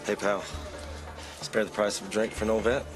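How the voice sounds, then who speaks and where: Low-voiced, down-and-out veteran, played by a man. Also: little pitch movement, normal speed, natural voice. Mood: pleading, friendly. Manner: familiar, colloquial. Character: down-and-out war veteran pilot cadging drinks. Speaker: veteran begging for a drink. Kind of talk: casual film dialogue. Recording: film soundtrack, music behind.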